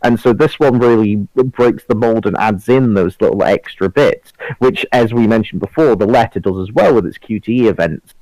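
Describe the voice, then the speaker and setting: A man's voice: on the low side, normal speed, warm tone, dry recording, low-quality recording. A man talking in a casual group discussion as a podcast panelist.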